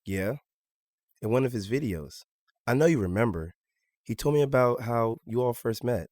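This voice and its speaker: An actor as a polite, reticent teenage boy in an audio drama. His mid-pitched voice is earnest and a little embarrassed, recorded dry. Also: lively intonation, moderate pace, a little mellow, no room sound, close to an everyday voice. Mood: bashful, earnest. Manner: brief, slightly embarrassed replies.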